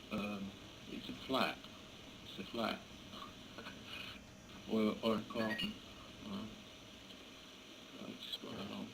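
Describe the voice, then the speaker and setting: Halting British man with gravelly fry. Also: lowish voice. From England. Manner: hesitant, halting. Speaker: amateur radio operator. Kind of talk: casual ham radio chat. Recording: noisy amateur radio contact recording.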